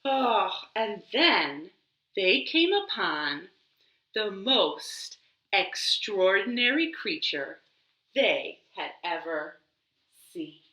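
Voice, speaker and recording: A woman hosting a children's storytime, reading aloud expressively with dramatic pauses and drawn-out words. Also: mid-range voice, sing-song, sharp tone, highs cut off. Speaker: library storytime host. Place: living room. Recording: echoey living room.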